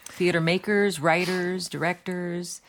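A woman host with a low voice leads a relaxed conversation. She asks questions and keeps up a steady flow of affirming murmurs as the others talk. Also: measured pace. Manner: warm listener, frequent affirming murmurs. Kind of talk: casual panel conversation.

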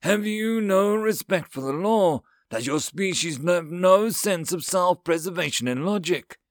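A man's voice: high voice, lively intonation, measured pace, dry recording, studio quality, voice pushed up. A man voicing an indignant male insectoid alien chancellor in a story, emphatic and demanding. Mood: furious.

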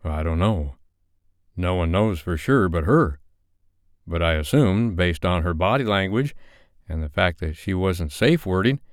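Man reading a calm, gentle man, deep, soft-spoken, coaxing. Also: a little slow, smooth, no room sound, clean studio sound. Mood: reassuring. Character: calm, patient young man, gentle and reassuring.

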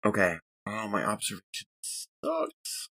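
Man, RPG player, casual chat, self-deprecating, swinging intonation, forward bright tone. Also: on the low side, wildly swooping pitch, slow pace. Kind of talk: casual, animated game-table chat.

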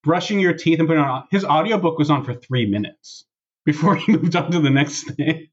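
A man's voice: on the high side, a little fast, breathy edge. A man hosting a podcast as casual comedic chat among friends, with a sardonic, incredulous way of talking. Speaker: podcast host. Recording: dull lo-fi sound.

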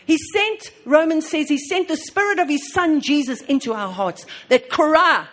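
A woman preaching a sermon on prayer, fervent and full of repeated praise, swinging between soft and loud. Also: sits high, expressive, normal speed.

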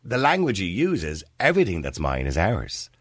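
A man's voice: sits low, moderate pace, no room sound. A man hosting a radio phone-in, arguing his points and cutting in on callers. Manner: animated, interrupts, argues his point. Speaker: radio show host.

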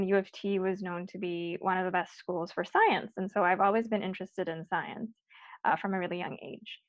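A woman's voice: mid-range voice, no echo. Young woman from Guyana, dry dull audio. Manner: earnest, fluent with many fillers. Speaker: guest, recent PhD graduate. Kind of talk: casual podcast interview.

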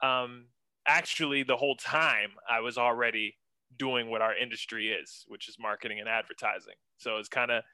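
Young male guest, bright and crisp, casual slangy chatter, enthusiastic. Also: mid-range voice, steady tone, moderate pace, no room sound, low-quality recording. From western Pennsylvania. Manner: laid-back, slangy, enthusiastic, says man a lot. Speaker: guest, senior art director. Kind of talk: casual interview chat.

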